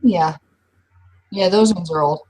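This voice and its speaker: A woman playing a guarded, mouthy werewolf woman who talks bluntly and haltingly in an improvised roleplay. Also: on the low side, a little mellow. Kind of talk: improvised in-character roleplay dialogue.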